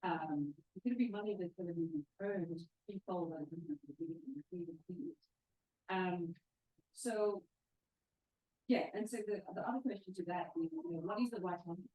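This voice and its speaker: A woman poses a question from the floor, halting and searching for words. Her voice is husky and rather low. The sound is dry and thin, like a phone line. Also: no echo. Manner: searching, halting with many ums. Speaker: audience member asking a question.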